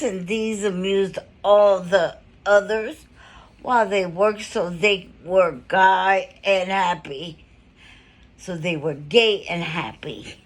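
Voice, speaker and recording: A woman reading a children's storybook aloud in short phrases with pauses, now and then adding casual asides. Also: mid-range voice. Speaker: storybook reader.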